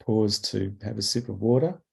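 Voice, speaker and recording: A man with a mellow, somewhat low voice leads an online product webinar. He sounds croaky from a cough and keeps clearing his throat. He talks in halting bits full of "uh" and "um", speaking into a desk mic. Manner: halting, many fillers, clears throat often. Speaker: product manager presenting a webinar. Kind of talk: webinar presentation.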